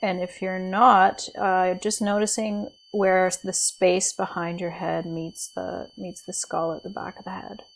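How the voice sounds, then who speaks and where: A woman leading a guided body scan meditation as a teacher, speaking in a gentle, soothing, unhurried way with pauses. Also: fairly low pitch, level tone, vocal fry, a little hiss or hum, low-quality recording.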